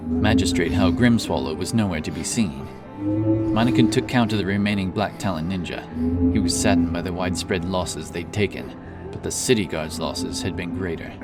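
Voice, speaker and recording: A man narrating a scripted fantasy story in a dramatic, deliberate manner. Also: sits low. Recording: distant voice under loud background music.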